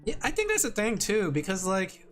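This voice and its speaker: A man's voice: high-pitched, wildly swooping pitch, moderate pace, a bit bright, soft background music. A man streaming as a vtuber, chatting casually with his viewers while drawing, rambling, laughing often and putting himself down.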